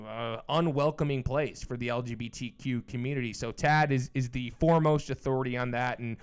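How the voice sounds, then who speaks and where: A man's voice: mid-range voice, clear, resonant tone. A man hosting a podcast in a conversational style, appreciative and enthusiastic as he introduces and interviews a guest. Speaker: podcast host.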